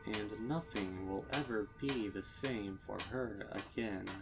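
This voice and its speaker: A man narrating a dark story with intense, theatrical delivery and deliberate phrasing. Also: lowish voice, creaky, music in the background. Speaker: fan fiction narrator. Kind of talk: dramatic scripted horror story narration.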